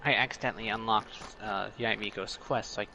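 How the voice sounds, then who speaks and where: A man's voice: fairly low pitch, steady tone, a little fast, creaky. A man chatting casually as he streams a game. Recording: noisy call with game audio behind.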